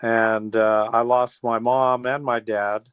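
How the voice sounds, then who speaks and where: Male, 60s, American. Folksy, meandering interviewee, mid-pitched, over a dull phone connection. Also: level tone, slow, clean tone, no echo. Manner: folksy, rambling with trailing restarts. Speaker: interview guest, teacher and former air traffic controller. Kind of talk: casual phone interview, reminiscing. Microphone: telephone.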